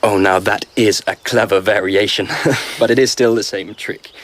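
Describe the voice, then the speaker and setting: An actor playing a curious, easily delighted emperor in a radio drama, genial but commanding. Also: male voice, lowish voice, fairly even intonation, normal speed, close to an everyday voice.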